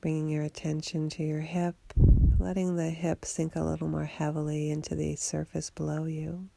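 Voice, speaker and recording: A woman guiding a body scan meditation for sleep in a soothing, unhurried, gently instructing way. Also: deep voice, completely flat delivery, creaky, dry recording. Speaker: meditation guide.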